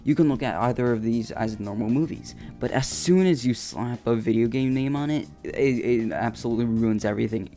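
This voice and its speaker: Mellow-voiced man doing jokey video commentary. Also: medium pitch, a little fast, hardly any pauses. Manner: flippant, slangy, jokey. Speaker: video essayist. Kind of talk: casual scripted comedic commentary. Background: upbeat video game music.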